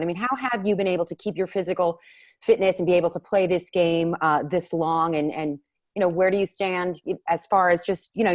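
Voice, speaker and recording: A woman's voice: fairly low pitch, darkish tone. A woman reporter asking a conversational, roundabout question at a press conference.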